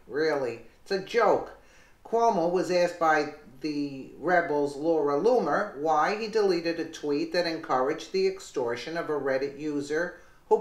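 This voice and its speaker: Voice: medium pitch, roomy sound. A commentator reading a news article aloud, with small slips, then adding casual remarks.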